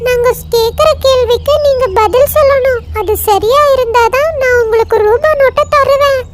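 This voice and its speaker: A woman's voice: very high voice, moderate pace, noisy background, pitched above the performer's own voice. A woman voicing an earnest, polite young girl bird in a children's cartoon. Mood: earnest, firm. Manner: polite, questioning, firm. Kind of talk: children's cartoon story acting.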